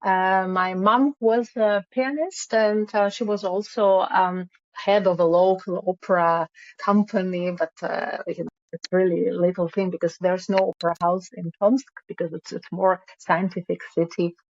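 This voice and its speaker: A woman, speaking as an interview guest in a halting way with many fillers. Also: fairly low pitch, fairly even intonation, darkish tone, no echo. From Russia. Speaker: guest, music founder. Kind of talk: casual interview. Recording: dull lo-fi call audio.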